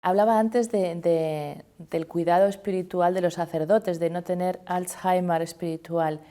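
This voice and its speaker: A woman's voice: on the low side, steady tone, moderate pace, warm tone. A woman interviewer putting questions to a guest in a conversational interview.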